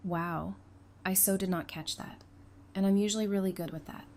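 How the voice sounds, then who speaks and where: A woman's voice: mid-range voice, fairly even intonation, moderate pace, a little mellow, close to an everyday voice. A woman playing a witty, hardened apocalypse survivor in a fan audio drama, with a teasing, conversational delivery. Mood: teasing, amused. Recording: clear background noise.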